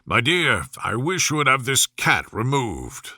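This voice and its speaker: An imperious storybook king, voiced by a man, speaks with fussy, pompous decision. His voice is bright and forward, with a very dry sound. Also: medium pitch, big pitch swings, unhurried, dry recording, heavily acted. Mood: affronted, pompous. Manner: pompous, decided. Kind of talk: storybook character voice.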